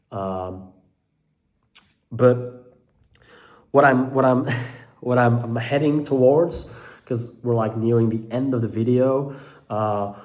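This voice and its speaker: A young man from Belgium with a Dutch-speaking accent talks casually to his viewers from his living room. He is hesitant, fills gaps with "uh" and "um," and often restarts his sentences, with a pitch that sits in a middle range for a man. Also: a little mellow. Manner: hesitant, many fillers and restarts. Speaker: mental health content creator. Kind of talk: casual talk to camera.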